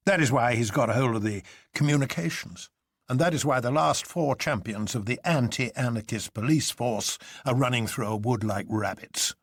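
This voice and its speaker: A man's voice: mid-range voice, moderate pace, slightly rough, dry recording, studio quality. A man voicing a scornful, worldly detective in an audiobook, lecturing in an emphatic, opinionated way. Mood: grimly explaining.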